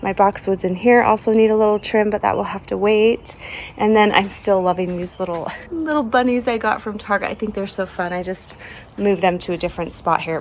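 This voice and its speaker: A woman's voice: medium pitch, a little mellow, slight background noise. Sleepy-voiced woman touring her garden. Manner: chatty, laughs often, trails off mid-sentence. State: sleepy and recovering from a cold. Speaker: home and garden vlogger. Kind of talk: casual garden tour walk-through. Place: outdoors in a front yard garden.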